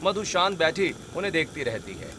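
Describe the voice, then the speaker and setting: A man's voice: on the high side, sing-song, a little fast, noisy background. A man narrating a scripted cartoon family story.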